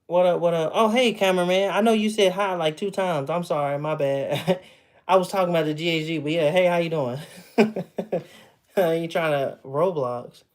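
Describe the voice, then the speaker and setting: A man's voice: fairly high pitch, normal speed. A man in his thirties with a slightly raised voice, chatting with his stream audience from home. He talks loosely, laughs easily and often restarts his sentences. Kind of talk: casual livestream chat. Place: at home with memorabilia behind him.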